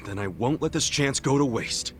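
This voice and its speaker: An actor playing an earnest, excitable, loyal friend in a video game, with an eager, informal way of talking. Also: male voice, mid-range voice, moderate pace, a little hiss or hum, lightly acted. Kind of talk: dramatic game cutscene acting.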